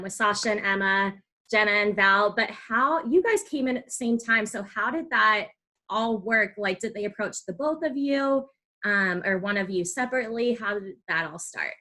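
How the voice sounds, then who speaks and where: A woman's voice: medium pitch, moderate pace, bright, forceful tone. A woman hosting a podcast, asking questions in a casual, enthusiastic and affirming way. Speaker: podcast host, interviewer. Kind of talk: casual interview chat.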